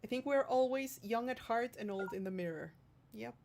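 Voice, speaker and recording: A woman's voice: on the high side, normal speed, gravelly, darkish tone, slight background noise. A woman hosting a livestream, reading out viewer comments and chatting casually in a playful, affectionate way. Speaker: streamer hosting a livestream, singer-songwriter.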